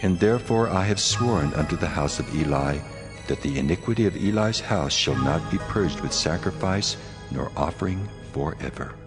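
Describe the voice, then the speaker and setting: A man voicing a stern, commanding God in a dramatized audio Bible, speaking in slow, grave pronouncements. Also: deep voice, animated, music in the background. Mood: stern judgment.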